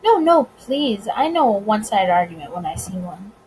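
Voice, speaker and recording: Woman reading as a smug, lively 1920s gangster. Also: medium pitch, normal speed, a little hiss or hum, lightly acted, rough recording. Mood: teasing. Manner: courteous, wordy, self-assured. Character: suave, smug, teasing male gangster bootlegger of 1920s America.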